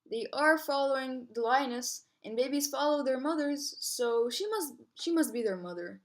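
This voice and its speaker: A woman storyteller acts out a cowardly hyena from an animal fable. The voice is high and the delivery hesitant and evasive. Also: moderate pace.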